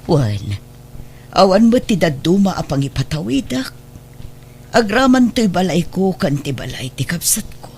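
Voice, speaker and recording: A woman's voice: on the low side, very animated, unhurried, darkish tone, a little hiss or hum. An actor playing a stern, resolute older wealthy adoptive mother with an Ilocano accent in a radio drama. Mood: solemn, measured.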